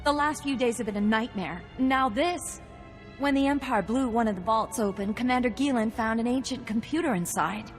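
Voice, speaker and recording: A woman's voice: on the high side, moderate pace, natural voice. Woman acting troubled officer, echoing hall. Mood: troubled, weary. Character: shaken, weary female officer in a war zone. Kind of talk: video game cutscene acting. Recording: long hall reverb, faint music.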